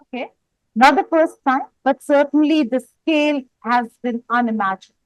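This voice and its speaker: A woman working as a news anchor, putting interview questions in deliberate, emphatic short phrases. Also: sits high, poor audio.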